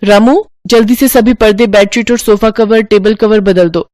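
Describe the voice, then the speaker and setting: A woman acts out a young daughter-in-law in a family storybook tale. She is sweetly bossy and dramatic, with resentment under the sugar. The sound is dry and mid-pitched. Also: steady tone, normal speed, darkish tone, dry recording, lightly acted.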